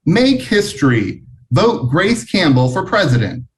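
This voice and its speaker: A man's voice: a little high, very animated, unhurried. A man voicing earnest elementary school children in a storybook, eager and declamatory. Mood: campaigning.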